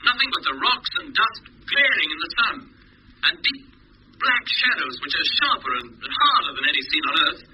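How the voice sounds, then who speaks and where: An actor playing a decisive, commanding yet reassuring spaceship captain in a 1950s radio drama. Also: male voice, extremely high pitch, sing-song, moderate pace, full character voice. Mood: awed, excited. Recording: dull, noisy 1950s radio recording.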